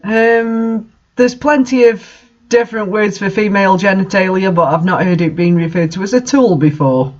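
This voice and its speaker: A man's voice: sits high, normal speed, a little mellow, highs cut off. A man doing a mocking read-aloud of bad fan fiction, with incredulous, sarcastic asides in casual commentary.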